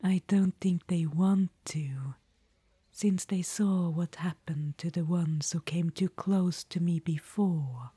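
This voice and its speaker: A woman's voice: on the low side, takes it slowly, warm tone, husky, dry recording, exaggerated voice. A woman voicing an imperious, fond undead witch-queen in an ASMR roleplay, whispering throughout and calling the listener darling. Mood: haughty. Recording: dry close-mic whisper.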